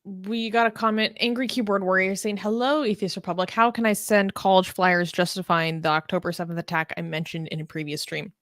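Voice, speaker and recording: A woman's voice: medium pitch, steady tone, fairly quick, few pauses, a bit bright, dry recording, clean studio sound. A woman co-hosting a live news talk show, chatting casually, reading viewer comments and joking bluntly. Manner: playful, blunt, laughs easily.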